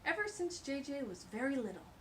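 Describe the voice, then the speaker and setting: A woman's voice: sits high, very animated, brisk, a bit bright, slight background noise, rough recording. A woman hosting a children's storytime, reading a picture book aloud with gushing, exclamatory asides.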